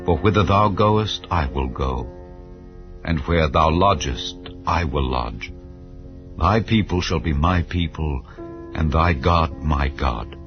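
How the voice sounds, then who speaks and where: A man's voice: extremely low pitch, lively intonation, exaggerated voice. A man reading as steadfast young widow Ruth. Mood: devoted. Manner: slow, measured vow-like delivery. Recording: slightly dull, soft music behind.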